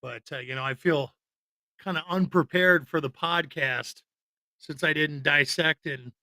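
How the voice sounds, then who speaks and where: A man with a bright, somewhat high voice, recorded dry and close. He co-hosts a podcast, chatting casually about movies. His delivery is loose, rambling and full of opinion. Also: a little slow, no room sound. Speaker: podcast co-host. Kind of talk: casual film chat.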